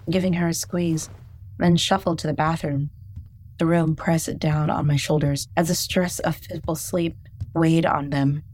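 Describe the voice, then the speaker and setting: Low-voiced woman narrating horror. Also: darkish tone. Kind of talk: first-person horror narration.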